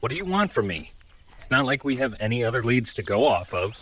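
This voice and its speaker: A man's voice: mid-range voice, sing-song, fairly quick, fairly bright tone. A man playing an earnest, mild traveler in an audio drama, speaking politely and a little hesitantly. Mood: resigned. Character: earnest, mild man stranded in big robot body.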